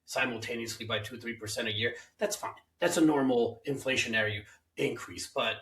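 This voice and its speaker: A man hosts a casual interview and shares his views at length. His voice is bright and forceful. The room around him is echoey. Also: medium pitch, steady tone, moderate pace, far from the mic. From Hungary. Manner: opinionated, talks at length. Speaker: host.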